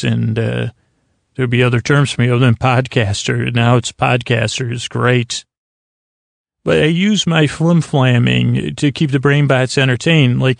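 A man with a creaky voice hosting a sleep podcast, talking in a meandering, halting, whimsical monologue that circles and over-describes. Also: mid-range voice, no room sound. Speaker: podcast host. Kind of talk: rambling bedtime monologue to help listeners sleep.

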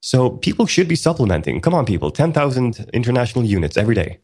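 A man co-hosting a casual podcast, explaining at length in a relaxed way with many ums and restarts. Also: medium pitch, fast, husky. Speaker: podcast co-host.

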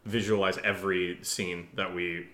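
Male co-host, low register, sharp bright tone, rambling informal chat. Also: little pitch movement, measured pace. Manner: rambling, peppered with "like". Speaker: audio drama writer and voice actor, co-host. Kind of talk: casual Q&A chat.

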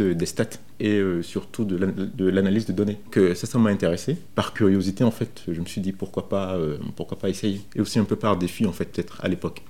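A man in his thirties from Benin recounts his career informally, speaking in a halting way with frequent repetitions. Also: deep voice, mellow. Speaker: software developer interviewed about his career. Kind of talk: informal first-person career account.

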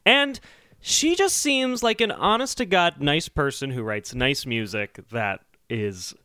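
Male host, bright forceful tone, lively pitch swings, mock-indignant, casual comedic debate. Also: a little slow, clean tone, no room sound, studio quality. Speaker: podcast host.

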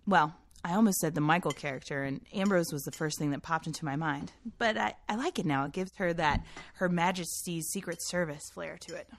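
A woman's voice: low voice, brisk, creaky, few pauses, a little hiss or hum. An actress playing a suspicious, pushy woman who writes and casts an amateur production, in naturalistic dialogue. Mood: encouraging. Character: suspicious, pushy amateur playwright running auditions. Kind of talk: naturalistic scripted drama dialogue.

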